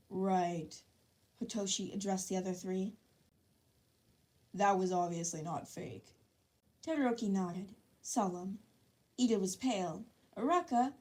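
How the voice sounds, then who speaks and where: A woman reads fan fiction aloud as narrator. Her voice sits at a medium pitch and her storytelling is expressive.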